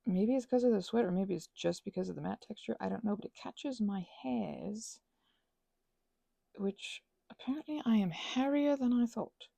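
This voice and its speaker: Woman doing rambly playful asmr craft chat, mellow raspy voice, really dry close sound, keeps cutting herself off. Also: mid-range voice, normal speed, no echo. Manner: playful, self-interrupting, animated asides. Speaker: ASMR and audio creator.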